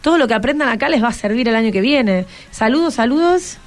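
Argentine woman, radio host, fairly high voice, chatty and encouraging, question-heavy, light music underneath. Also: animated, fast. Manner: encouraging, asks many questions. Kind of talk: chatty radio hosting with schoolchildren. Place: radio studio.

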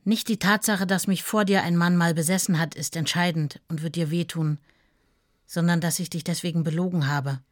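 A woman's voice: fairly low pitch, steady tone, normal speed, dry recording, studio quality, natural voice. An actress playing a devoted young woman in love, reading her letters with earnest, intimate pleading for a documentary drama. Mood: anguished, confessing.

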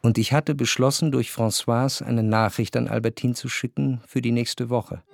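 An actor playing a nostalgic gentleman narrator in a literary radio drama, recalling his past in contemplative, lyrical, measured phrases. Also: medium pitch, fairly even intonation, vocal fry, lightly acted. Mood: wistful, contemplative. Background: faint instrumental music.

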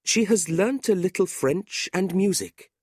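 A man's voice: measured pace, darkish tone, husky, no echo, studio quality. A man voicing a sorrowful, complaining middle-aged widow, a genteel mother, in a plaintive storybook reading. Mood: pleading. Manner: plaintive, lifted high above narrator's voice.

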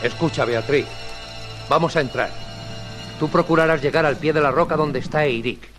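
An actor with a Castilian accent playing a brave, commanding space hero in a 1950s Spanish radio serial, urgent and declamatory. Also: male voice, a little high, normal speed, lightly acted. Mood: calm resolve. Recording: vintage radio drama with music behind.

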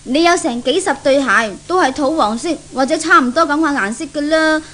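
High-voiced, outspoken maidservant. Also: female voice, a little fast, fairly bright tone, a little hiss or hum. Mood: meek, protesting. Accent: Hong Kong Cantonese. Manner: frank, grumbling. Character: outspoken, gossipy maidservant who grumbles about her mistress. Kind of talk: 1970s Cantonese radio drama acting.

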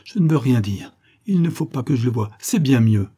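A man's voice: on the low side, moderate pace, dark tone, husky, no room sound, clean studio sound. A man voicing a heartbroken, resolute young woman, emphatic and pleading, in a melodramatic storybook reading. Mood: anguished.

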